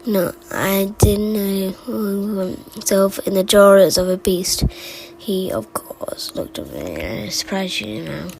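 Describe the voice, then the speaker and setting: A woman acts out a forgetful storyteller from a game, rambling in a mid-pitched voice full of creak. The delivery halts, stammers and drifts off on tangents. It is an amateur recording with clear noise behind it. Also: animated, darkish tone, rough recording. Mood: meandering, halting. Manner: halting, digressive, stammering. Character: rambling, forgetful storyteller who muses about odd small memories. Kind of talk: fan recital of game character voice lines.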